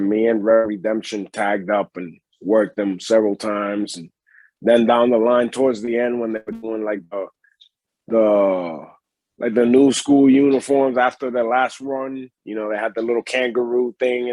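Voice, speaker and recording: A man's voice: fairly low pitch, darkish tone, no echo, poor audio. Puerto Rican man, rambling interview talk. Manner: rambling, many restarts and fillers. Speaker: pro wrestler guest. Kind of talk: casual interview.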